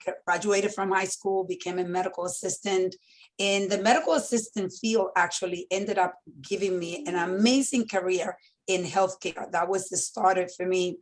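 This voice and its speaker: Woman in her fifties telling her story. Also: on the low side. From Dominican Republic. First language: Spanish. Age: 50s. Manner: open, animated storyteller with frequent fillers. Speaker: entrepreneur guest speaker. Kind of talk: fireside chat storytelling.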